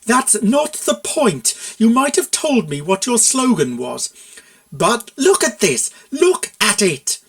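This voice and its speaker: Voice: mid-range voice, very animated, slow, pitched above the performer's own voice, exaggerated voice. A storyteller voicing a feisty, sarcastic young cartoon pony mare who is easily exasperated, in a fan fiction reading. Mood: furious. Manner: sharp, sarcastic, emphatic.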